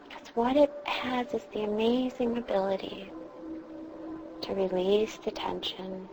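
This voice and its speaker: A woman leading a guided meditation in a soothing, reassuring manner, with drawn-out pauses between phrases. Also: medium pitch. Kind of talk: guided meditation, instructing a listener. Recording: clearly noisy background ambience.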